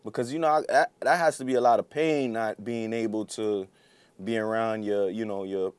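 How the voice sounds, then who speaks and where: A man's voice: lowish voice, fairly even intonation, moderate pace, smooth, dry recording. A man from Guyana who moved to the US as a child, interviewing in a casual, conversational style. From born in Guyana, came to the US at seven. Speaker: interviewer, co-host.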